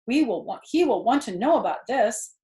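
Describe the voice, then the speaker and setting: Lady reading a proud farm boss man in a kids book, emphatic and excitable, echoey. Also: on the high side, moderate pace, pitched above the performer's own voice, natural voice. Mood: joyful admiration. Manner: emphatic, declarative orders. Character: proud, excitable male farm owner giving orders.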